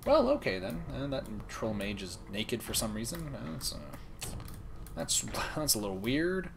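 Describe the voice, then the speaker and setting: A young man streaming a game late at night, talking softly and calmly, almost whispering so as not to wake anyone. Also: mid-range voice, a little slow, gravelly. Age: young adult. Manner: soft, calm, near-whispering. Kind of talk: casual gaming stream chat. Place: room at home, late at night.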